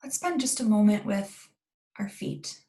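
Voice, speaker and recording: A woman leading a guided meditation in a calm, gentle, unhurried way with long pauses and a creaky voice. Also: mid-range voice.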